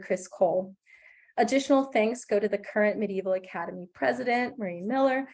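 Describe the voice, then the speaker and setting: A woman's voice: mid-range voice, darkish tone. A young woman with a slightly wheezy voice from a recent cough, chairing a graduate committee and reading welcome remarks on a video call.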